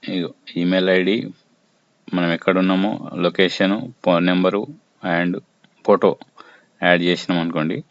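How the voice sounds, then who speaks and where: A man presenting an informal app tutorial, explaining step by step in short, halting phrases. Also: low-pitched, little pitch movement. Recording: muffled lo-fi audio with faint noise.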